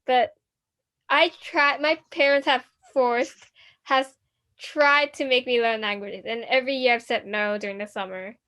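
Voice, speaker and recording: A woman's voice: fairly high pitch, steady tone, a little slow, fairly bright tone. A teenage girl from Hong Kong co-hosting a student podcast, chatting casually with many restarts. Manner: rambling, many restarts and fillers. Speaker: podcast co-host.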